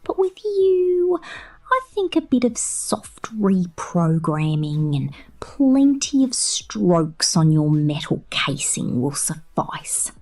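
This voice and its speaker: A woman's voice: on the low side, big pitch swings, takes it slowly, dark tone, full character voice. A woman voicing a possessive, sinister robot mechanic in an ASMR roleplay, teasing and cooing intimately, partly in whispers. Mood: coaxing, possessive.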